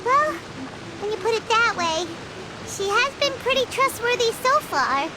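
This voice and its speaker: A woman voicing a tiny, inquisitive fairy companion in a video game, with a squeaky childlike voice and exclaiming manner. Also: lively intonation, measured pace, heavy background noise. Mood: doubtful. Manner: refers to herself by name, exclaiming. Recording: music behind the voice.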